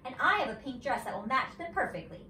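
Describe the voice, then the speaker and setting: A prissy, vain little schoolgirl from a storybook, put on by a woman. She sounds affected and self-satisfied, very high in pitch and swooping through her phrases. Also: big pitch swings, fast, bright, forceful tone, echoey room, distant mic, slight background noise, exaggerated voice.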